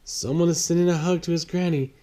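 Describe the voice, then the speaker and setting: A man's voice: on the high side, steady tone, fast talker, mellow, pitched above the performer's own voice, close to an everyday voice. A man voicing a kindly, businesslike woman who sorts mail in a storybook. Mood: kindly, instructing.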